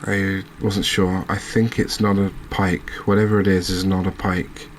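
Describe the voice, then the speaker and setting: Low-pitched, even-toned man, relaxed game commentary. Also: normal speed, a little hiss or hum. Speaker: game streamer, host.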